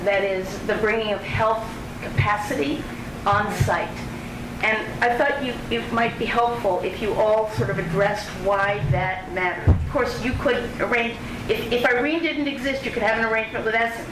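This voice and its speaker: A woman moderating a panel discussion, measured, laying out options before asking a question. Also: a little high. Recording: distant mic in an echoey noisy room.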